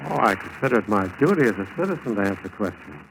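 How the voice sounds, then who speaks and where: An actor playing a mild, obliging German-born spy posing as a loyal citizen, in 1940s radio style on a noisy old recording. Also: male voice, fairly low pitch, big pitch swings, fast, gravelly. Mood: uneasy, innocent.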